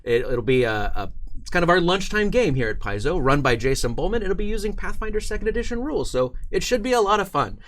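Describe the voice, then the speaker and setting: Man hosting a stream, animated pitch. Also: fast. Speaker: livestream host. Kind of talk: casual livestream chat.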